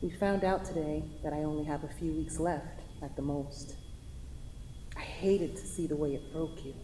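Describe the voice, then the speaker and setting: A woman playing a gentle, reassuring, loving late wife in a staged play reading, in a noisy echoey hall. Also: low-pitched.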